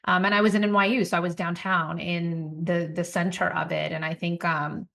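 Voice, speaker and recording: A woman's voice: on the low side, moderate pace, creaky. Guest, woman in her 40s, founder recounting her path, reflective and chatty. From Puerto Rico. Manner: reflective, storytelling, animated asides. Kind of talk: podcast interview.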